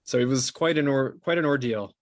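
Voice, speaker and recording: A man being interviewed as a podcast producer, explaining things conversationally in a halting way with many restarts. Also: mid-range voice, no echo, studio quality.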